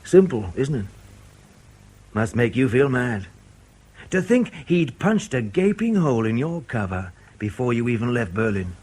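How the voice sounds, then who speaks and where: An actor playing a sardonic, menacing intelligence man who needles with colloquial, deadpan understatement in a radio drama. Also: mid-range voice, measured pace. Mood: needling, menacing. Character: sardonic, menacing male British intelligence interrogator.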